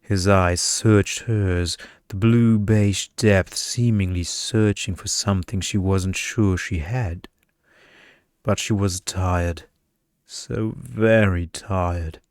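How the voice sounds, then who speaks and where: A man reading a scripted bedtime story in ASMR style, with a hushed, seductive delivery into a close mic. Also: low voice, steady tone, slow, no room sound. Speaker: story narrator.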